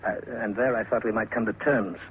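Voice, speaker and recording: An actor playing an eager, deferential journalist in an old radio drama, polite and prone to stammering. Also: male voice, fairly low pitch, fairly even intonation, fairly quick, natural voice. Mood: tactful. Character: eager, deferential male freelance journalist seeking a stunt. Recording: tinny, noisy old radio recording.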